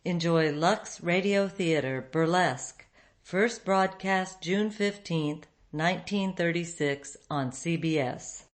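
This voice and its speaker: A woman's voice: lowish voice, slow pace. A woman giving a scripted introduction as the host of a radio show.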